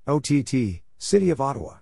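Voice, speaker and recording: A man giving a scripted read-out as an instructor, spelling letters and saying names in short, measured, separate phrases. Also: medium pitch, big pitch swings, creaky, darkish tone. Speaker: Morse code practice instructor. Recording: noisy recording with clicks.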